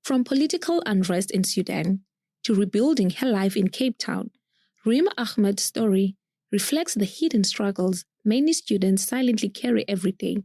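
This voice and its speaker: A woman reading scripted narration for a campus radio feature in a measured, composed way. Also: medium pitch, expressive, dark tone, no echo. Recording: dry close studio sound.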